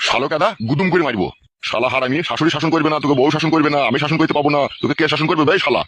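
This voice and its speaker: A man playing an angry, foul-mouthed father in a comic cartoon, with a rural West Bengal accent and a gruff, scolding manner. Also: fairly high pitch, very fast, a bit bright, no room sound, muffled recording.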